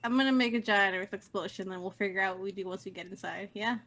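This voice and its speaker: A woman's voice: medium pitch, fast talker, a bit bright. A woman playing a tabletop game, chatting casually in a playful, teasing way.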